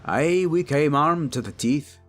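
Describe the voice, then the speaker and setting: A man's voice: on the high side, animated, measured pace, fairly bright tone, soft background music. A man playing a proud, battle-hardened old dwarf king in a fantasy audio drama, speaking in a boastful, commanding, folksy way.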